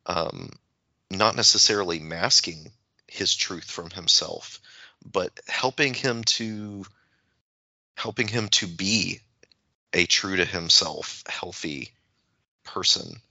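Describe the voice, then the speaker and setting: A man with a deep, strikingly bright voice talks through literature at a slow, halting pace. He feels his way through each thought, repeating phrases as he goes, on a dry, slightly dull recording. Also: creaky, dry recording. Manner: halting, searching, thinks aloud in repeated phrases. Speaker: podcast panelist. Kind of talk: reflective literary discussion.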